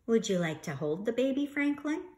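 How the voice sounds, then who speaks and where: Lady doing a papa bear in a picture book, kind, encouraging, pitch all over. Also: big pitch swings, moderate pace, background noise. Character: kindly, soothing father bear.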